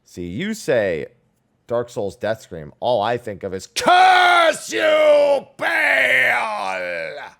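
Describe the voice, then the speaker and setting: A man's voice: sits high, huge pitch swings, extremely slow, vocal fry, sharp tone, raised voice. A man giving casual, playful playthrough commentary on a game in a theatrical way. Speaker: game streamer. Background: game music.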